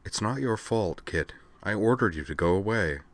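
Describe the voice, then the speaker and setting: Solemn young princess read by a man, composed and wry, measured delivery, commanding, dry audiobook sound. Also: fairly low pitch, animated, dry recording. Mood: gentle, reassuring. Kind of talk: audiobook character voice.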